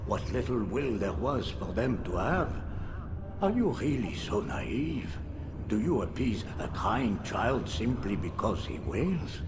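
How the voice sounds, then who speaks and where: An actor playing a self-righteous older cult-leading doctor, paternal and theatrical, in a video game. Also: male voice, lowish voice, very animated, takes it slowly, background noise, full character voice. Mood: weary, righteous. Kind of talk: dramatic video game cutscene acting. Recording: music behind the voice.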